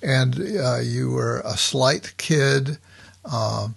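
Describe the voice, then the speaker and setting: A man hosting an interview, speaking slowly and reflectively and leaning on fillers. His voice is gravelly with a husky edge. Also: mid-range voice. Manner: slow, reflective questioning with frequent fillers. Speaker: interview host. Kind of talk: podcast interview.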